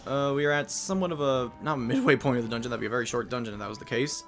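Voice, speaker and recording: A man hosting a let's play, giving chatty, self-mocking commentary with quick asides. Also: mid-range voice, soft background music. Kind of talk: casual game commentary.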